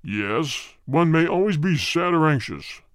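A man acts out a spirit of the dead from a ghost story, deep and cold. The delivery is grave and formal, each phrase measured and hard. The pitch still swings within the solemn lines, and the sound is dry, with no room around it. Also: lively intonation, smooth, no echo. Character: solemn spirit of the dead speaking through a medium.

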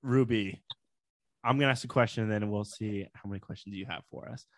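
A man's voice: lowish voice, brisk, fairly bright tone, dry recording. Casual man co-hosting, dry studio-like sound. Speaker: podcast co-host. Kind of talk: casual chat.

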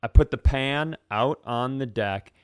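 Guy comedian on a podcast, smooth voice, medium pitch, super dry sound, telling self-deprecating sarcastic stories. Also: measured pace, dry recording. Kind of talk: casual comedic chat and storytelling.

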